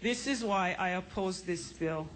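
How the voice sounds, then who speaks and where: A woman giving earnest, deliberate testimony against a bill at a legislative hearing. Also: lowish voice, slight background noise. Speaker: public testifier. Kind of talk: formal public testimony.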